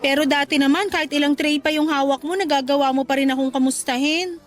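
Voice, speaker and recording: A woman's voice: high-pitched, steady tone, fast talker, natural voice. A woman playing a sweet, teasing young worker being courted in a Tagalog radio romance, with a coy, coaxing way of talking. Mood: wistful, coy.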